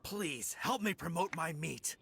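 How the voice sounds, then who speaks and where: A man's voice: a little high, animated, measured pace, gravelly, fairly bright tone, a little hiss or hum, full character voice. A man playing an eager, brash village meat trader in a video game, with an emphatic salesman's delivery. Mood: pleading. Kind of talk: animated video game voice acting.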